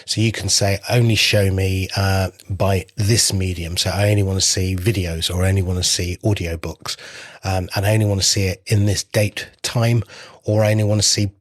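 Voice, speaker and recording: Low-voiced man explaining app features. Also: normal speed, no room sound, clean studio sound. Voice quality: slightly husky. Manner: explains product features step by step in a relaxed, chatty way. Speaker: podcast app founder and co-host. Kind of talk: casual podcast chat.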